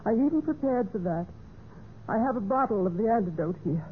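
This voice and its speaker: An actress playing a betrayed, wistful but resolute wife in a measured, theatrical golden-age radio mystery style. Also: female voice, fairly high pitch, animated, close to an everyday voice. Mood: sorrowful, resolute. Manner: measured, deliberate, theatrical delivery. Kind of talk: 1940s-50s radio mystery acting. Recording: tinny, noisy old radio recording.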